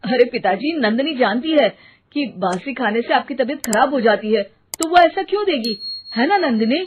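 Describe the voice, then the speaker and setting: A woman's voice: on the high side, animated, normal speed, background noise, close to an everyday voice. A woman voicing a concerned grown son who questions and reproaches his family in a moral story. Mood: reproachful. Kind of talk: acted moral story dialogue. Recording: muffled, phone-like narrow band.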